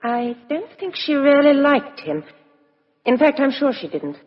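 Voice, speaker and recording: An actress playing a cool, outspoken, sharp-minded young Englishwoman in a 1950s radio drama. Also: high voice, big pitch swings, measured pace. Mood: candid. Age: young adult. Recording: muffled vintage radio broadcast, reverberant studio.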